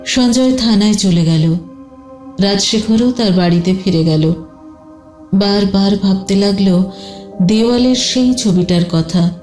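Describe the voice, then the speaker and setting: A woman's voice: medium pitch, measured pace, pauses often, a little mellow, reverberant, soft background music. A woman narrating a scripted detective audio story in a dramatic storytelling style.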